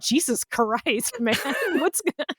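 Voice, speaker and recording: Higher-voiced woman, giggly casual podcast chat. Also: slow pace, breathy edge, no echo, studio quality. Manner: giggly, slangy, animated reactions. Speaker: podcast co-host. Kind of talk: casual chat about Tolkien adaptations.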